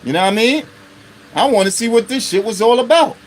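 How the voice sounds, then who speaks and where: A man's voice: very high-pitched, expressive, fairly quick, a little hiss or hum. Blunt, profane man in his fifties. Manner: blunt and profane, with constant rhetorical check-ins. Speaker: live-stream host. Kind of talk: unscripted live monologue.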